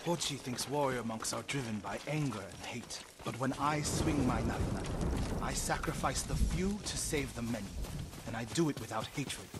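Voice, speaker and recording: An actor playing an earnest warrior monk, grieving yet resolute, speaking in a solemn, measured, intense way for a video game drama. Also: male voice, mid-range voice, heavy background noise, close to an everyday voice. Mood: bitter, earnest. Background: game music.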